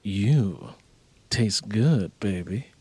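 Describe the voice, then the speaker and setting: A man playing a smooth-talking, teasing husband in audiobook dialogue. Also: lowish voice, lively intonation, extremely slow, a little mellow, dry recording, voice pushed down, full character voice. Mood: amorous, teasing. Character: adult husband, amorous, teasing, content, wary of change.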